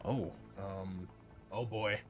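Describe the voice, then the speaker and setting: A man's voice: low voice, steady tone, very slow, soft background music. A man acting as game master, narrating the action and talking through rules casually in a tabletop roleplaying session.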